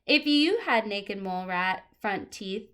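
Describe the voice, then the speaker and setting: A woman's voice: mid-range voice, lively intonation, measured pace, fairly bright tone. A woman teacher reading a children's nonfiction picture book aloud, expressively stressing key words and adding short explanatory asides.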